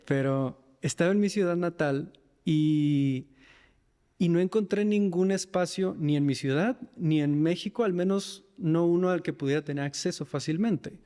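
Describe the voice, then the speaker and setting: A man's voice: on the high side, normal speed, mellow, clean tone, big-room sound. A man with a northern Mexican accent speaking reflectively about himself and his work as an interview guest. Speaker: podcast guest, men's mental health facilitator. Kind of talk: reflective personal interview talk.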